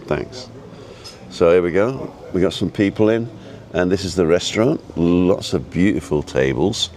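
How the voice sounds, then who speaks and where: A man with an easygoing, chatty and halting way of talking, casually rambling as he hosts a live vlog. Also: low voice, a little mellow. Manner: easygoing, chatty, halting as he reads and answers viewer comments. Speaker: live-streaming vlogger and host. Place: outdoors at a restaurant overlooking the road and ocean.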